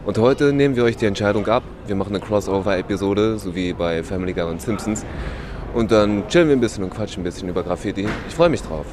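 A man hosting a podcast, talking in a relaxed, chatty way with slang and fillers while he has a cold. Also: fairly low pitch, quick pace, background noise. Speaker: podcast host. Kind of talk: casual podcast chat.

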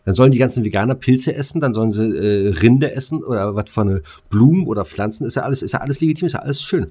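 A man's voice: mid-range voice, animated, quick pace, mellow, breathy edge. A man co-hosting a casual German podcast chat, speaking in a blunt, opinionated, colloquial manner. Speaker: podcast co-host.

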